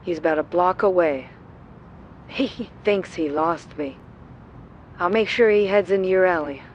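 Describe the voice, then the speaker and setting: A woman voicing a cocky, teasing fox-costumed vigilante in an animated series, with sarcastic quips. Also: highs cut off, lightly acted. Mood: confident. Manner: sarcastic, unhurried quipping. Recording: clear low rumbling background noise.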